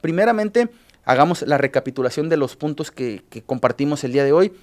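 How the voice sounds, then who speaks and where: A man with a Mexican Spanish accent, a journalist recapping news cases on a radio show, halting often with filler sounds and restarts. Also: on the high side, animated.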